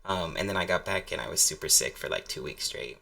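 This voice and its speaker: A man's voice: low voice, fast, vocal fry, pushed and bright. A young man co-hosting a podcast, teasing and casual. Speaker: podcast co-host. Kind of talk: casual chat.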